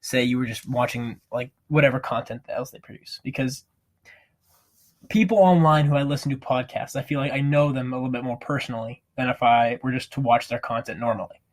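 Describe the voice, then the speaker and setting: A teenage boy hosting a casual podcast, animated and playfully teasing, talking in quick bursts. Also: mid-range voice. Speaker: podcast host. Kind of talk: casual chat. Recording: amateur home podcast mic.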